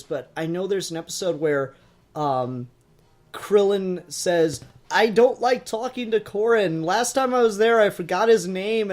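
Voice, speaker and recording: A man's voice: sits high, a little mellow. A man co-hosting a podcast, chatting casually and enthusiastically as a fan reviewer, with plenty of swearing.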